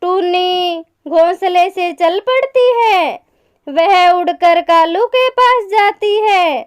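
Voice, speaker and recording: A woman's voice: very high-pitched, slow. A woman narrating a scripted children's story.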